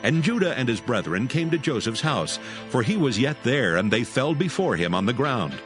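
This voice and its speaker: A man's voice: mid-range voice, very animated, moderate pace, music in the background. A man narrating scripture as a dramatized audio story, reading from a script.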